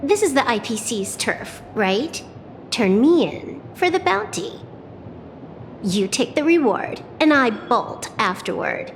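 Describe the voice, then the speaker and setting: A woman voicing a cool, wry young hacker outlaw in a video game, cheeky and unbothered with deadpan wit. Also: a little high, slow pace, in a large hall, a little hiss or hum, full character voice. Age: young adult.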